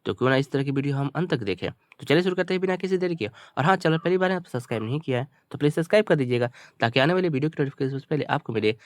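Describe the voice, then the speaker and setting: A man's voice: a little high, lively intonation, very fast, few pauses, no room sound. A man teaching through a story, reading phrases out and translating them word by word in a repetitive, explanatory manner. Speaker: teacher, channel host.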